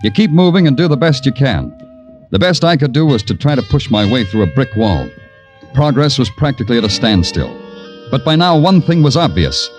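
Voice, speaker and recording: An American actor playing a weary, wry police detective who narrates his case in deadpan 1940s radio style. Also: medium pitch, fairly quick, close to an everyday voice. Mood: wry narration. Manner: deadpan, sardonic. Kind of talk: 1940s radio crime drama acting, hardboiled narration. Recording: old 1940s radio broadcast, music behind.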